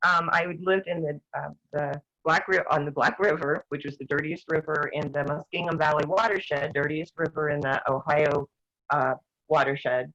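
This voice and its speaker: Older woman, earnest and a bit hoarse. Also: on the low side, fairly bright tone. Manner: earnest, rambling, with many restarts. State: losing her voice, clearing her throat. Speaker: guest activist. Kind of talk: casual talk-show discussion. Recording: dull lo-fi sound.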